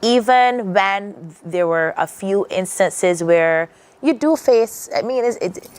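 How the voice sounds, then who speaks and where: A woman's voice: mid-range voice, lively intonation, slow, darkish tone, no room sound. A woman from Barbados with a Barbadian accent, talking earnestly as a guest on a morning TV interview, often restarting her sentences. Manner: earnest, reflective, many restarts.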